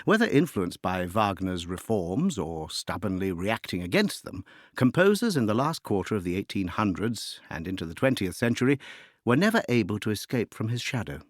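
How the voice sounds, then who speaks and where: A man's voice: lowish voice, very animated, normal speed, no room sound, studio quality. A man reading a scripted educational introduction to music as narrator, articulate and expository, with rhetorical turns of phrase.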